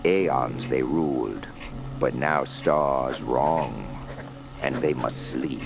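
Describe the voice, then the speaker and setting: Very deep, gravelly cult fanatic. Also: male voice, over music, full character voice. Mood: ominous, reverent. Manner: broken English, slow halting incantatory phrases. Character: old, fanatical foreign male cult prisoner, menacing mystic. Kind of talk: radio horror drama acting.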